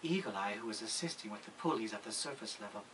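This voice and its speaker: A man playing the storyteller of an audio drama, reading scripted narration in a measured way. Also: fairly low pitch, animated, fairly bright tone, recorded from a distance, a little hiss or hum.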